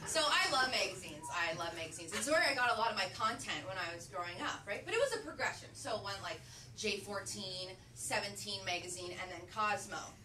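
A young woman from Canada performing a stand-up comedy set, swinging between low asides and shrieking punchlines. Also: lively intonation, fairly quick, sharp tone, a little hiss or hum. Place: comedy club stage, large dark room. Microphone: handheld mic on a stand cord, filmed from far. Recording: roomy, distant club recording with crowd laughter. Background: audience laughter.

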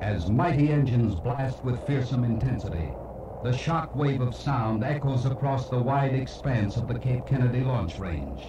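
A man's voice: medium pitch, animated, moderate pace, recorded from a distance, highs cut off. Dramatic male documentary narrator, echoey and noisy. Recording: noisy, echoey cassette playback from afar.

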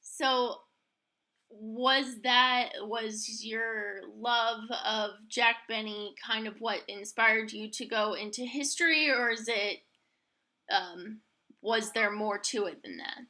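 A woman's voice: fairly high pitch, fairly even intonation, slow, bright, forceful tone. A young American woman hosting a podcast in a casual, conversational style. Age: young adult. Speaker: podcast host.

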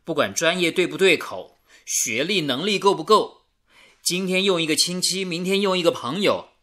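An actor playing a principled, sensible young radio engineer in a radio drama, explaining things earnestly. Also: male voice, sits high, animated, normal speed, sharp tone.

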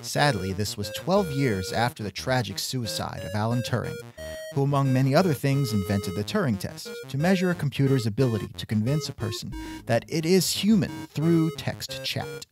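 A man's voice: mid-range voice, lively intonation, moderate pace, music in the background. A man hosting a scripted comedic interview show, sardonic and increasingly exasperated. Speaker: podcast host.

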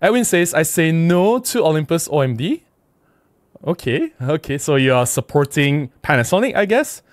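A man's voice: fairly high pitch, sing-song, moderate pace, dry recording. A middle-aged man with a Malaysian accent chatting casually on a livestream, reading out comments and replying in an emphatic, conversational way. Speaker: livestream host. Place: small indoor room at a desk. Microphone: close desk microphone with windscreen.

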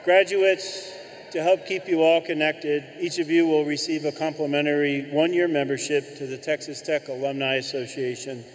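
An older man giving a formal scripted ceremony address as a university official at a podium microphone in a large arena. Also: mid-range voice. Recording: echoey arena hall with long reverb.

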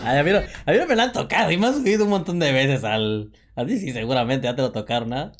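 A man's voice: medium pitch, lively intonation, fast. A young Mexican man with a Mexican accent, hosting a reaction video in joking, teasing casual chat. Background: music from the reacted video.

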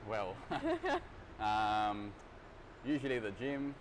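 A man's voice: lowish voice, huge pitch swings, extremely slow, slight background noise. A young man in his twenties, an exercise physiologist, answering interview questions casually with many fillers and restarts, recorded in a large gym studio. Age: 20s.

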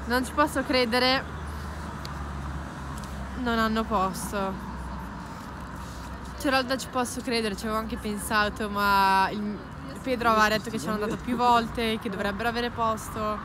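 A woman in her twenties chatting excitedly as she vlogs outdoors on city streets, holding her phone at arm's length. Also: on the high side, normal speed, long pauses, noisy background. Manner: chatty, excited, mixes English words. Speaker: vlogger. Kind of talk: casual travel vlog. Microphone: phone held at arm's length.

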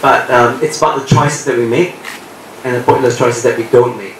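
A man's voice: medium pitch, steady tone. Man, 50s, hesitant stop-start talk, handheld mic, echoey screening room, distant and noisy. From Singapore. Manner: halting, many ums and restarts. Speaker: film director on a panel. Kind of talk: post-screening Q&A answer. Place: screening room, seated on a stage. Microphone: handheld mic, heard from afar.

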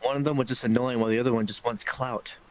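A man's voice: mid-range voice, fairly even intonation, fast talker. A man streaming and chatting casually with a friend, loose, joking and profane. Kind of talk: casual chat while streaming.

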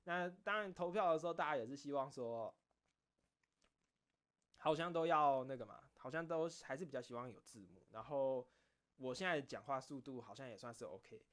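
Man, Taiwanese accent, medium pitch, casual streamer chat, rambling anecdotes, animated retelling of dialogue, self-critical venting. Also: moderate pace. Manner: animated storytelling, acting out back-and-forth exchanges. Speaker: video creator and streamer.

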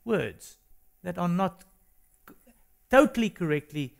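A South African man whose first language is Afrikaans, co-hosting a religious discussion in an earnest, halting way. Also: high voice, animated, gravelly. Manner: earnest, hesitant with restarts. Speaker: co-host. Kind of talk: studio discussion.

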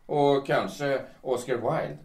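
A man's voice: mid-range voice, darkish tone, natural voice. A man playing an earnest, lovestruck middle-aged editor in an audio drama, speaking in formal, hesitant phrases. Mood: proud. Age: late 30s. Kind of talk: audio drama dialogue in Swedish. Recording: echoey room.

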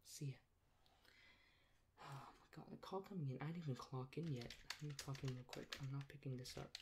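A young man in a soft-spoken ASMR role play as a rude emergency dispatcher, talking in a deadpan, sarcastic and dismissive way. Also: medium pitch, a little fast. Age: young adult. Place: small plain room indoors. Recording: clear background noise, keyboard clicks.

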